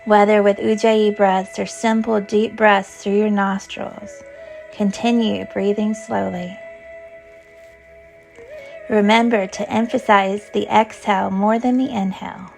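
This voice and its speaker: Female meditation guide, gentle and soothing, mid-pitched with some vocal fry, faint music beneath. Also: level tone, poor audio. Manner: soothing, gentle, unhurried instruction. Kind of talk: guided yoga nidra meditation.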